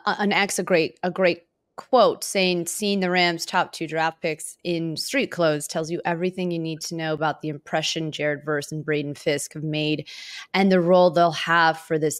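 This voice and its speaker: A woman's voice: on the low side, dry recording, clean studio sound. A woman hosting a sports podcast, chatting casually about football in an informal, upbeat manner.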